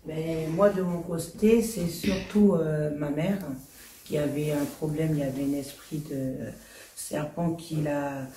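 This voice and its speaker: Female testimony giver from French Guiana, hesitant, low-key timbre, distant roomy recording. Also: fairly even intonation, dark tone, slight background noise. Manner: halting, with frequent "euh". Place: small meeting room. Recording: roomy sound from a far camera.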